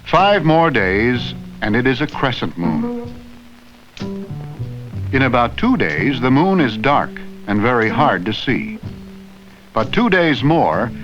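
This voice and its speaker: Guy narrating an old school film, slow and clear like a teacher, lively up-and-down voice, muffled old soundtrack. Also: medium pitch. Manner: slow, clear, teacherly delivery with questions to the viewer. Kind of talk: scripted educational narration. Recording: dull vintage film soundtrack with faint music.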